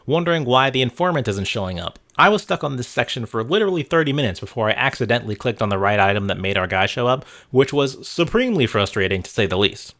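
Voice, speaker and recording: A man's voice: medium pitch, lively intonation, quick pace, hardly any pauses, a bit bright. A man narrating a scripted video essay in a wry, conversational way with casual asides, sometimes casually sweary. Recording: background music under narration. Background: music.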